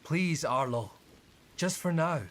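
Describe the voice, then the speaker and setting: An actor playing a polite, concerned family friend in a video game, gentle, reassuring and earnest. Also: male voice, fairly high pitch, takes it slowly. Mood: pleading, concerned. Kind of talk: video game cutscene acting.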